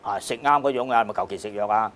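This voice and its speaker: A man's voice: on the low side, quick pace, fairly bright tone. A man with a Hong Kong Cantonese accent lecturing on a classical text in a colloquial, emphatic way, repeating phrases and checking with rhetorical questions.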